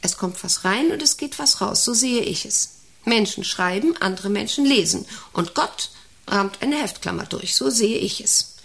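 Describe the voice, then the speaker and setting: An actress playing a middle-aged, self-important and intrusive company director in a radio play, rambling and domineering with a creaky voice. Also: female voice, mid-range voice, animated, normal speed, fairly bright tone. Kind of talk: radio play monologue and dialogue.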